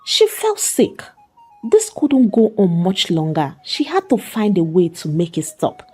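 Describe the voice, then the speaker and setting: Woman storyteller, dramatic narration, very animated intonation, husky, dark soft tone, faint music behind. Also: mid-range voice, unhurried.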